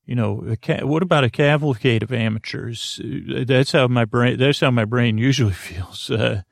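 A man hosting a bedtime podcast in a creaky, lulling voice, halting and stammering through meandering, goofy tangents. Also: mid-range voice, dry recording, clean studio sound. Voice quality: creaky, drawling. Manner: lulling, soothing. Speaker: host of a bedtime sleep podcast. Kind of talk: rambling, meandering bedtime monologue.

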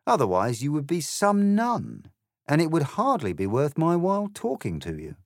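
A man's voice: a little high, wildly swooping pitch, moderate pace, no echo, pitched above the performer's own voice. Storybook caterpillar played by a male reader: bored, languid, sleepy drawl, unimpressed, dry recording. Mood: languid, dismissive.

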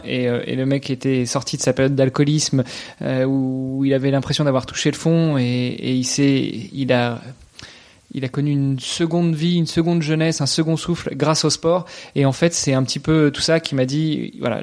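A man in his thirties from France, talking casually in an interview with many pauses and restarts. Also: medium pitch, a little mellow. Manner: hesitant, many fillers and restarts. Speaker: podcast guest, athlete.

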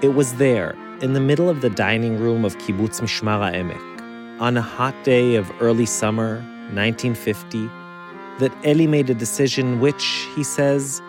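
A man narrating a scripted documentary as host, phrasing deliberately with dramatic pauses, close on the microphone. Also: mid-range voice, music in the background.

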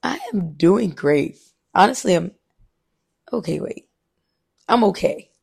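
A man co-hosting a casual podcast with a hoarse, deep, scratchy voice, talking in a blunt, joking way and swearing freely. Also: animated, slow, a little mellow. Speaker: podcast co-host. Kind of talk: casual chat.

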